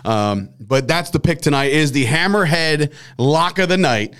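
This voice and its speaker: A man's voice: on the high side, expressive, a little slow, fairly bright tone, clear, resonant tone. A man hosting a casual sports betting podcast, rambling and laughing often, with some swearing. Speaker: sports betting show host.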